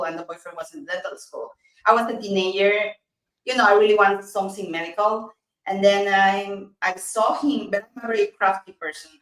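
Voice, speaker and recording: A dentist in her thirties from Peru with a Peruvian Spanish accent, chatting earnestly in an interview, with many restarts. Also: mid-range voice. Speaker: dentist, guest. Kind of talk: casual interview chat. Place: small office, indoors. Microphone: video call webcam. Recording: roomy, distant video-call audio.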